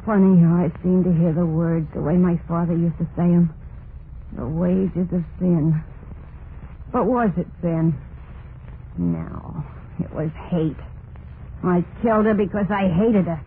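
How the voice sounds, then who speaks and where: Thin noisy old radio recording, a haunted young wife confessing to murder, brooding and bitter. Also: female voice, on the low side, steady tone, unhurried, pauses often, narrow, tinny audio. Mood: bitter, resentful. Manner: dramatic brooding monologue with repeated phrases, plain folksy speech. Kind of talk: vintage radio horror play acting. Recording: noisy, band-limited vintage radio recording.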